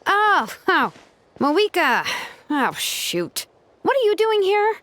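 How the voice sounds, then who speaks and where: A woman's voice: extremely high pitch, big pitch swings, slow, fairly bright tone, heavily acted. A woman playing a casual, evasive young craftswoman in a fantasy video game, offhand and a little hesitant. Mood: flustered. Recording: music playing behind the voice.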